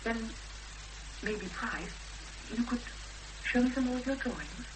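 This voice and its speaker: A woman playing an earnest young woman in a 1970s British radio drama, expressive and hesitant when pressed, on an old recording. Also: on the high side, unhurried, background noise. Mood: polite, friendly. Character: Emma, earnest young woman searching for missing sister.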